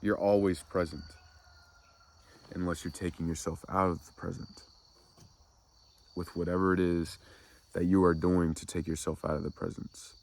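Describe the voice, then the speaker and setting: Congested nasal guy, real deep gravelly voice, slow ramble for spiritual asmr, crickets in the back. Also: flat delivery. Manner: slow, meandering reflective musing. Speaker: ASMR spiritual channel host. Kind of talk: soft-spoken ASMR spiritual talk.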